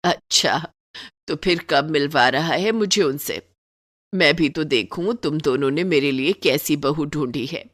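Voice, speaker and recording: An elderly mother-in-law from a cartoon moral story, acted by a woman, her voice dropped low and close. She is simple and trusting, and speaks to her family in a fond, plaintive, motherly way. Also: a little slow, a bit bright, no room sound. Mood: curious, teasing. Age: older.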